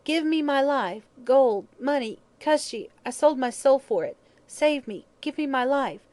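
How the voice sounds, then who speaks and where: Shrill, wailing dying old hag. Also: female voice, animated, unhurried, a little mellow, dry recording. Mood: desperate bargaining. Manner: rough uneducated speech, dropped grammar.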